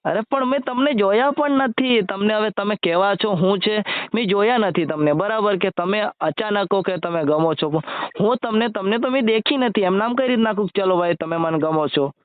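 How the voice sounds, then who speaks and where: A man's voice: high-pitched, normal speed, no echo. A man with a Gujarati accent chatting casually on a phone call, colloquial, repeating himself and asking questions. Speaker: amateur video creator on a phone call. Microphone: recorded phone call. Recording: muffled lo-fi phone call recording.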